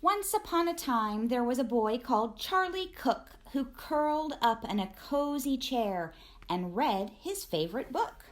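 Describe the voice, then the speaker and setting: A woman reading a rhyming children's storybook aloud in an expressive, deliberate storytelling manner. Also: high voice. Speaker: teacher reading a picture book aloud.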